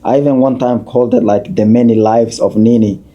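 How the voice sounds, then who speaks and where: Guy in his 30s, super dark mellow voice, slow halting answers full of uhs. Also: medium pitch, steady tone, dull audio. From Somalia, raised in Kenya. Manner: unhurried, halting, with many uh fillers and restarts. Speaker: guest, community leader and author. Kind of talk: podcast interview answers.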